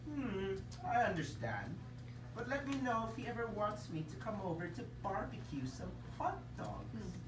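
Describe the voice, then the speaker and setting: A woman plays an eager little circus dragon, earnest and pleased with himself, whose pitch leaps up and down as he talks. The voice comes from a distance in an echoey room, with noise behind it. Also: big pitch swings, moderate pace. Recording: echoey room, far mic, noisy.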